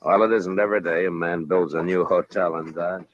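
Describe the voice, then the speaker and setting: An American actor playing a weary, watchful frontier marshal in radio drama, measured and plain-spoken. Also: male voice, low-pitched, steady tone, close to an everyday voice. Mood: easygoing. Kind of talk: 1950s radio drama acting. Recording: old radio recording, dull and hissy.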